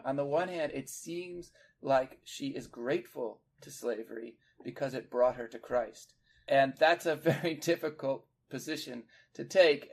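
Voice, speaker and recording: Gravelly-voiced man explaining poetry. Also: medium pitch. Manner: measured, analytical, explains point by point. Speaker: podcast co-host, literary scholar.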